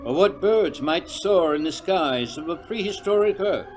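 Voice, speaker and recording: An actor playing a theatrical announcer of an old-time eerie radio show, with a dramatic, suggestive delivery. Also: male voice, high voice, lively intonation, moderate pace, slight background noise. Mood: ominous, theatrical.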